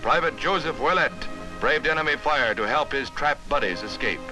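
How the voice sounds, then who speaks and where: American man, 1950s newsreel narration, animated swooping intonation, formal and emphatic, music behind. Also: a little high, moderate pace, rough recording. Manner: formal, emphatic, dramatic announcer delivery. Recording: vintage newsreel soundtrack with music.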